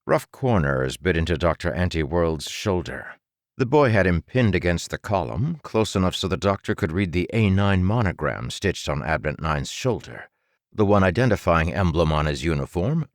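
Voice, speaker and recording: A man narrating dramatic fiction for an audiobook in a deliberate storytelling manner. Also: deep voice, expressive, no room sound, clean studio sound.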